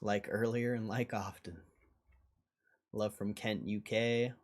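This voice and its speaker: A man's voice: on the low side, fairly even intonation, takes it slowly. A man hosting a livestream, chatting casually and informally with viewers in slangy, often repeated phrases. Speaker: livestream host. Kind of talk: casual livestream chat, reading out viewer comments.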